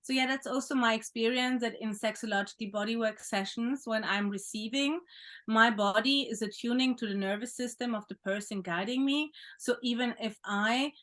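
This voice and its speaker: Soft-spoken woman. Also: on the high side, normal speed. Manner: reflective, gentle, with occasional soft laughs. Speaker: podcast host, sexological bodyworker. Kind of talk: conversational interview.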